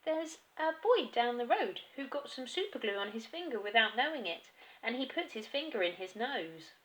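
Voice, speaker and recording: A woman's voice: a little high, sing-song, moderate pace, a bit bright, pitched above the performer's own voice, low-quality recording. Woman doing a sly, sweet-voiced little girl. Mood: sweetly sly. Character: clever, innocent-sounding little girl.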